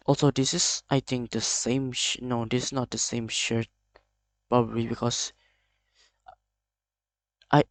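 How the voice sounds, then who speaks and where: A young man with an Indonesian accent, speaking English as a second language in a hesitant, halting confessional vlog full of restarts. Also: medium pitch, no echo. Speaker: video creator.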